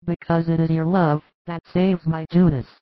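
A devout, anxious biblical mother, as a male storyteller plays her, speaks in a raised, tender pitch. Her delivery is fervent and effusive, in a religious storybook style. The recording is dry and a little dull. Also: level tone, moderate pace, no echo, natural voice. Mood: relieved, overjoyed. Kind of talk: dramatized scripted religious storytelling.

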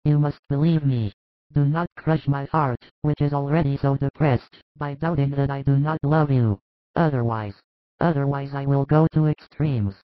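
A man's voice: mid-range voice, steady tone, moderate pace, dry recording, close to an everyday voice. Man doing a pleading, devoted apostle. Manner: earnest, pleading, subdued. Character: worried, devoted male apostle, impetuous. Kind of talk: audiobook dialogue reading. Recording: dull lo-fi dry recording.

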